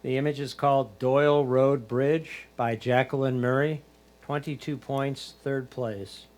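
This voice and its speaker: A man of medium pitch acts as the score announcer for a competition. He reads out titles, names and scores, following the same formula each time. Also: fairly even intonation, unhurried, darkish tone.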